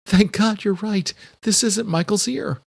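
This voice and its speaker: Man voicing a high-pitched, distraught younger woman. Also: unhurried, gravelly, no room sound, studio quality, exaggerated voice. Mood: anxious, pleading. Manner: pleading, insistent, higher-voiced. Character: distraught, determined younger sister, an adult woman. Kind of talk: audiobook character acting.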